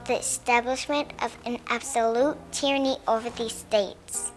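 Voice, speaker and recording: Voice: mid-range voice, steady tone, moderate pace, soft background music. A child reading a formal historical text aloud.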